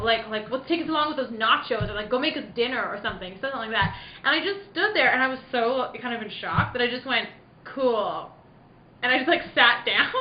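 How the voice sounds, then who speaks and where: Chatty high-voiced woman in echoey room. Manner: chatty, filler-heavy, uses "like" constantly. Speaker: actor, guest on the show. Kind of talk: casual chat, telling anecdotes. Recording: echoey room, muffled, some noise.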